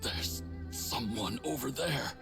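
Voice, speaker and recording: A slow, gravelly dying warrior spirit. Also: male voice, mid-range voice, over music. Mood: weak, confused. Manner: slow, halting and fading. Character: dying male warrior spirit, confused and gentle. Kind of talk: video game voice acting.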